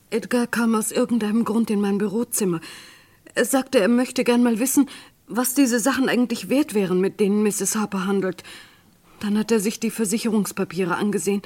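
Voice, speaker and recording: A woman's voice: mid-range voice, breathy edge, natural voice. An actress playing a troubled, regretful young woman, speaking in a subdued, confiding way in a radio crime drama.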